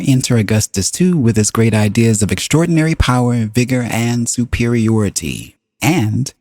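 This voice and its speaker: A man reading a book aloud as scripted narration, with measured, deliberate phrasing and pauses. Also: on the low side, dark tone, dry recording, clean studio sound. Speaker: audiobook reader.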